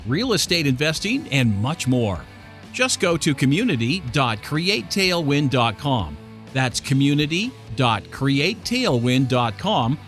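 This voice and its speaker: Lively upbeat announcer man. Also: a little high. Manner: upbeat, promotional. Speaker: show announcer. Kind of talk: scripted promo read. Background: faint guitar music.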